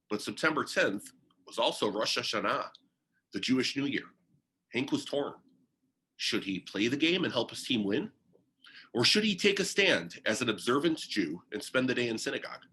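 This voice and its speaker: A man narrates a biography as if telling a story, reading from a text. His voice is bright and forward, with pitch that moves around in a lively way and an expressive delivery. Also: slight background noise, poor audio. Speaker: reader of a biography. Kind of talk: read-aloud biography narration.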